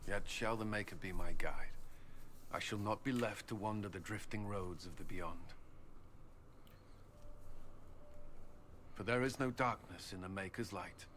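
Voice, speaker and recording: An actor playing a devout, weary military commander in a video game, solemn, restrained and formal. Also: male voice, lowish voice, normal speed, a bit bright, slight background noise, natural voice. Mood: reverent. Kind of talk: earnest video game drama.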